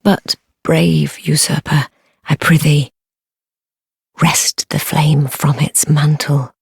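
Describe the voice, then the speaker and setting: A woman playing a sly, devoted noblewoman in a dark fantasy game, speaking formal archaic English in a low, breathy, measured voice. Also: little pitch movement, no echo, studio quality, heavily acted. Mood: solemn, urging. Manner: measured, formal archaic speech, deferential yet commanding. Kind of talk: fantasy video game acting.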